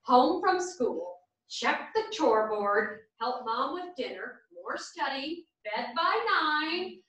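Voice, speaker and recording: A woman's voice: high-pitched, sing-song, slow, fairly bright tone, heavily acted. A woman playing a dutiful, bitter perfectionist in a staged play reading, recorded at a distance in a theatre. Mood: bitter, emphatic. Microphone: far camera. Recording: echoey theatre, far from the microphone.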